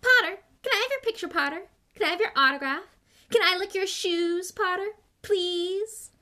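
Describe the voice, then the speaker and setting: A woman's voice: extremely high pitch, moderate pace, bright, forceful tone, pitched above the performer's own voice. A woman voicing a mocking impression of a fawning, eager small boy in an audiobook, pleading and gushing. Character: whining, fawning small boy, cruelly mimicked. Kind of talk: audiobook character voice.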